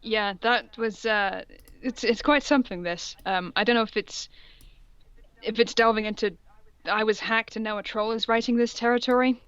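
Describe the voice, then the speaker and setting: A woman's voice: on the high side, steady tone, normal speed, fairly bright tone, smooth, no room sound, dull audio. A woman co-host reading fan fiction aloud in a comic dramatic style, mock-serious and amused, with casual sweary asides.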